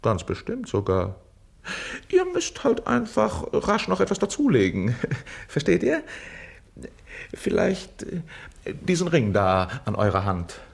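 An actor in a radio play as a sly, fawning, mocking servant who wheedles and stammers with calculated hesitation. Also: male voice, a little high, huge pitch swings, slow pace, gravelly, long pauses, exaggerated voice. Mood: sly, coaxing. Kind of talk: theatrical radio play acting.

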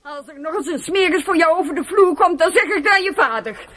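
An angry, scolding mother, very high in pitch. She threatens and reproaches in dramatic radio-play style. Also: fairly quick, fairly bright tone.

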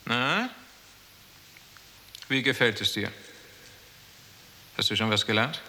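An actor playing a smug, cynical superior with poetic pretensions in a 1960s German radio drama, suave and sardonic. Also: male voice, medium pitch, expressive, slow, bright, forceful tone, slight background noise, heavily acted. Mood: smug, musing. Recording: reverberant hall, 1960s radio production.

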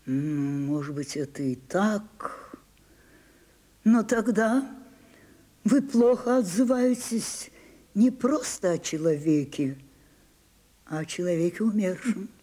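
Grand aging stage diva for radio play: weary, capricious, commanding, unhurried, soft dark tone. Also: female voice, on the low side, animated. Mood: theatrical, insistent. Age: older. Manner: theatrical, commanding, dramatic pauses. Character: imperious, theatrical old French actress, weary and capricious. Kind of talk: Soviet radio drama acting.

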